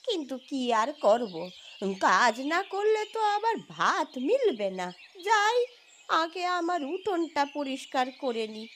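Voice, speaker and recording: A woman's voice: high-pitched, very animated, a little slow, a bit bright, a little hiss or hum, heavily acted. A woman voicing a grumbling, shrewd older village sweeper in a cartoon, exclaiming and complaining in rustic turns of phrase. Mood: weary grumbling. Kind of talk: animated cartoon story dialogue. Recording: music under the voice.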